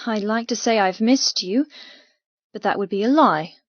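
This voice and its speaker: A woman acting audiobook dialogue as a defiant, hurt, sharp-tongued young woman, confrontational and emotional. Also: medium pitch, moderate pace, no echo, dull audio, lightly acted. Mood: exasperated, defiant.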